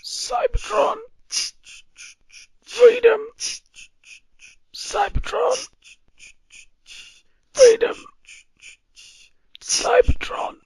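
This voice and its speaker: A man's voice: very high voice, level tone, very slow, vocal fry, long pauses, a little mellow, dry recording, full character voice, poor audio. A man playing an angry robot protester chanting slogans in an audio drama. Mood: angry, defiant.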